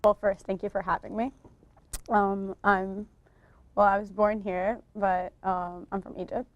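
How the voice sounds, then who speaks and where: A woman's voice: mid-range voice, little pitch movement, unhurried, highs cut off. A college-age woman answering interview questions thoughtfully and with some hesitation. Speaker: stylist and model.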